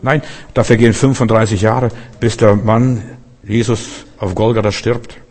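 A man's voice: lowish voice, fairly even intonation, normal speed, a little mellow. A man preaching a Christmas sermon in a church hall, fervent and direct, often repeating himself and questioning his listeners. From Berlin. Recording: long hall reverb.

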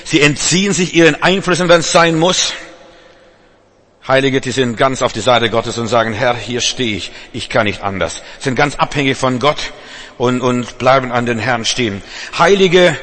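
A man's voice: mid-range voice, moderate pace, fairly bright tone. A male preacher giving a sermon to a congregation, speaking emphatically with repetitions, direct address and frequent restarts. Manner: stumbles and restarts. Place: church congregation.

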